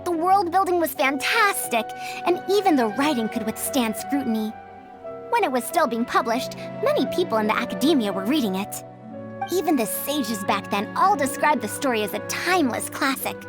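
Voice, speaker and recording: A very learned, opinionated anime game scholar, high and animated in voice. She speaks formally and picks at details like a fussy academic, with music playing behind her. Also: normal speed. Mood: earnest. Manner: pedantic, formal wording. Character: bookish, prim female scholar over a hundred years old, youthful and opinionated. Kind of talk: anime-style video game voice acting.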